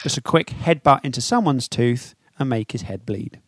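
A man's voice: mid-range voice, animated, moderate pace, husky, no room sound. A man with an English accent co-hosting a football podcast in casual, joking chat, with a dry, teasing, sweary manner.

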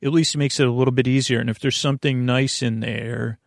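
A man hosting a bedtime podcast in a rambling, halting monologue, trailing off, digressing and circling back to his point. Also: medium pitch, steady tone, creaky, dry recording, studio quality. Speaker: podcast host.

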